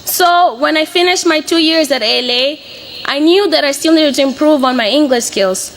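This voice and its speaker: Bright, high-voiced young Mozambican woman. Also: normal speed, slight background noise, rough recording. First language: Portuguese. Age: 20s. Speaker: student speaker. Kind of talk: prepared personal speech to an audience.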